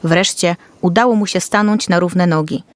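A woman's voice: fairly low pitch, moderate pace. A woman storyteller reading a scripted fairy tale for children.

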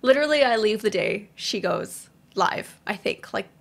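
A young woman giving casual, gushing and expressive reaction commentary as a gaming video host, recorded on a studio mic in a small home room. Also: medium pitch, normal speed, gravelly. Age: young adult. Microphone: boom-arm studio mic at desk.

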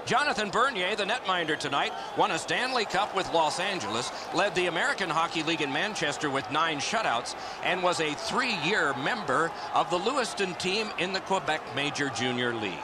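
A male play-by-play announcer calling a hockey game in brisk, clipped broadcast phrases. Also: on the high side, expressive. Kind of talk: sports broadcast commentary. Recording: game audio under loud arena crowd noise.

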